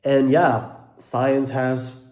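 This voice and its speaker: Soft-spoken Belgian man with a Dutch accent. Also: medium pitch, little pitch movement, very slow. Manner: hesitant, many fillers and restarts. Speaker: mental health content creator. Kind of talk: casual talk to camera. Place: living room at home.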